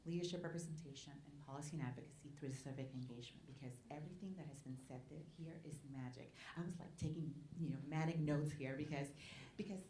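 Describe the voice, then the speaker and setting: A low-pitched woman speaks. She is a Spanish giving an earnest talk at a forum and keeps asking her listeners "right?". She was recorded in an echoey event hall with faint background noise. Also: quick pace, mellow. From Puerto Rico. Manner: earnest, often asks the room "right?". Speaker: nonprofit president speaking at a panel. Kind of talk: public talk at a community forum.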